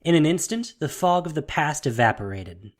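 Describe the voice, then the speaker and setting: A man's voice: on the high side, sing-song, moderate pace. A man reading scripted fantasy fiction as the narrator of an audio drama, in a dramatic storytelling style. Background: faint music.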